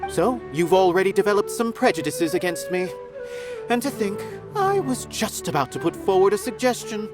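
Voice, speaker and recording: A man's voice: high voice, big pitch swings, normal speed, over loud music. A man voicing an eloquent, philosophical art connoisseur in a video game, lofty, formal and theatrical. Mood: arch, composed. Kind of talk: dramatic game monologue.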